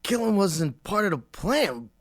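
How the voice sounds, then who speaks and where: A man playing an uneasy, slow-witted 1920s henchman with a hesitant, questioning manner in an audio drama. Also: sits high, sing-song, no echo, clean studio sound. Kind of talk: period crime audio drama acting.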